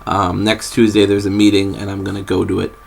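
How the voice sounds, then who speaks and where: A man's voice: low voice, completely flat delivery, brisk, creaky. A young man from New England giving a hesitant, rambling life update to his viewers, full of ums and restarts. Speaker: vlogger. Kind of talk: casual rambling update to camera.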